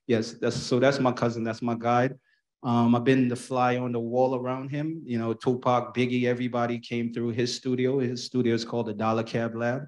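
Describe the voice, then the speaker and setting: A man who is a music producer, speaking on a panel into a handheld mic in a large event space, relaxed and folksy, addressing the crowd as y'all. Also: mid-range voice, moderate pace. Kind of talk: panel talk.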